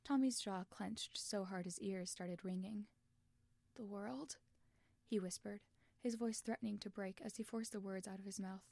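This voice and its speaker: A woman's voice: medium pitch, fairly even intonation, normal speed, creaky, a little mellow, no room sound. A woman narrating fan fiction expressively from a script, as in an audiobook.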